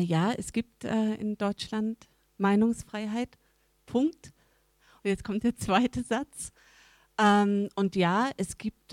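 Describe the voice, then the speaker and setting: A woman speaking as a panel guest, measured and thoughtful, with some fillers. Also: medium pitch, darkish tone, dry recording, clean studio sound. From Dresden, Germany. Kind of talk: panel discussion.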